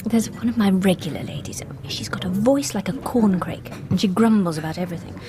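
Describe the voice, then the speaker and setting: An actress playing a friendly young hairdresser's assistant in a radio drama, chatty and polite, with an English accent. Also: female voice, medium pitch, a little fast, noisy background, close to an everyday voice. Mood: friendly, playful. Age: young adult.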